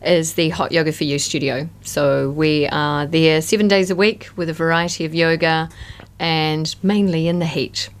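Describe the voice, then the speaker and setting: A woman with a low-pitched voice talks as a guest on a radio show. She speaks casually into a studio microphone, in an easy interview style. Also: fairly even intonation, moderate pace. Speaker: studio guest, yoga studio owner.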